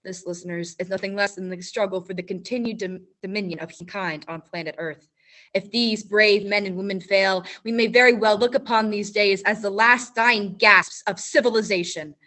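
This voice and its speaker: A woman's voice: medium pitch, fairly even intonation, normal speed, lightly acted. Teen girl as a grandiose war radio reporter. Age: teens. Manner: grandiose broadcaster narration, rising to shouts. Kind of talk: high school stage radio play.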